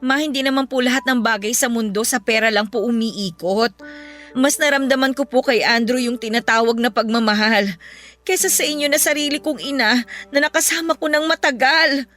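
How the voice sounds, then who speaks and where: A woman's voice: on the high side, a little fast, a bit bright, natural voice. A woman playing an earnest, hurt young adult daughter in a Filipino radio drama, speaking with emotion. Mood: hurt, pleading. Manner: pleading, emotional. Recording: music behind the voice.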